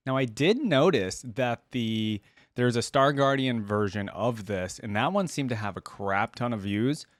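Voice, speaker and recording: A man's voice: mid-range voice, lively intonation, a little slow, dry recording, studio quality. A man giving casual reaction commentary as the host of a video.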